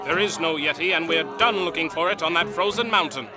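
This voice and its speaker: An actor playing a fed-up, confrontational ringleader of a hunting crew in a pulp radio adventure. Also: male voice, a little high, brisk, over music, lightly acted. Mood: defiant. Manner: tough, confrontational.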